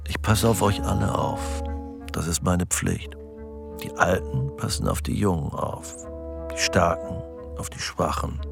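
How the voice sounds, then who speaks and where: An actor playing a stern, protective husband in a radio drama, creaky-voiced, measured and soothing yet controlling. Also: male voice, sits low, exaggerated voice. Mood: soothing, solemn. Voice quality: gravelly, creaky. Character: stern, protective husband, dutiful old-comrade type. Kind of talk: German radio drama acting. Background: music.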